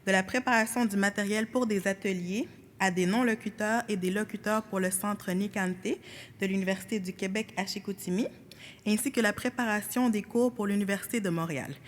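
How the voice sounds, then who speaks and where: A young woman reads a prepared conference presentation in a steady, formal manner. Her voice carries a long reverb, with faint ambient noise behind it. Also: mid-range voice, a little fast.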